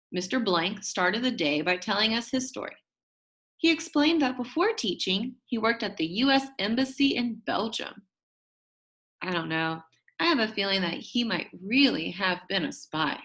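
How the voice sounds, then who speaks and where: A woman's voice: mid-range voice, a bit bright. A woman reads a children's story aloud, telling it expressively and acting out the characters' lines. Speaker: audiobook reader.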